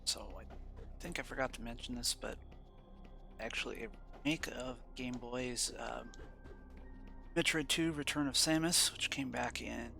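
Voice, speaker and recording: A man's voice: medium pitch, fairly even intonation, measured pace, creaky, pauses often, bright, forceful tone, soft background music. A congested man hosting a let's play, talking in a loose, rambling way full of fillers. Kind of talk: casual game commentary.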